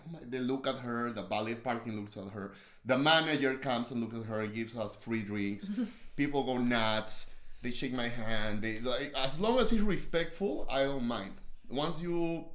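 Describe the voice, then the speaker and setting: A man's voice: mid-range voice, reverberant. A man from Peru with a strong Peruvian Spanish accent, a guest chatting casually and joking boastfully on a livestream.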